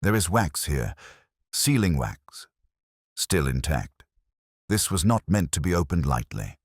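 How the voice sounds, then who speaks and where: Male narrator as theatrical Victorian detective for audiobook: very low, lively pitch, incisive clipped declamation. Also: a little slow, husky, no room sound, studio quality, full character voice. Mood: intrigued. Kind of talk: audiobook character dialogue.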